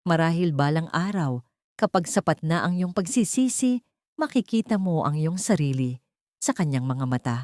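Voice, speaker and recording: A woman narrating a sentimental story from a script in a measured, solemn, poetic manner. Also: deep voice, lively intonation, a little mellow, no echo, clean studio sound.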